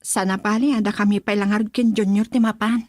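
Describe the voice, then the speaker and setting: A woman's voice: mid-range voice, fairly even intonation, brisk, a little mellow, no echo, clean studio sound, natural voice. A woman playing an earnest, respectful young mother and dutiful daughter in a radio drama. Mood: pleading.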